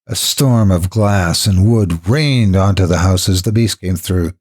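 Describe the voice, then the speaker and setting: Low, husky male horror storyteller. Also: a little mellow. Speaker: horror story narrator and host. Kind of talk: dramatic scripted storytelling narration. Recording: music behind the voice. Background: background music.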